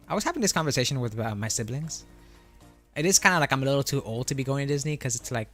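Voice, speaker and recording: A man's voice: mid-range voice, fairly bright tone. A young man from Florida chatting casually with his live-stream viewers, rambling and laughing as he answers chat questions. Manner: laid-back and rambling, reads out chat questions, frequent laughs and self-corrections.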